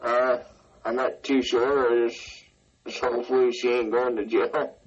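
A man playing a calm hillbilly sidekick who answers in short, mild, agreeable lines. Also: medium pitch, unhurried, slightly rough, dull audio.

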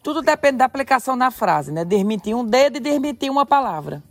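A man with a Northeastern Brazilian accent, co-hosting a podcast with quick, joking chat from outdoors on a rock. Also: very high-pitched, very animated, faint music behind. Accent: Northeastern Brazilian Portuguese. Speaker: podcast co-host. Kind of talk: casual podcast banter.

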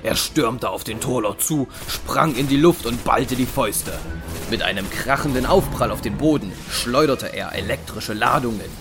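A man's voice: mid-range voice, moderate pace. A man giving scripted narration for a science fiction audio drama. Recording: music behind the voice.

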